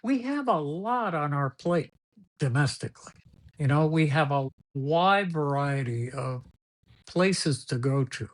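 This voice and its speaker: A man's voice: fairly high pitch, expressive, unhurried. An older man whose first language is Spanish, telling rambling stories as a podcast guest, often repeating words and laughing as he goes. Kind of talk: storytelling interview.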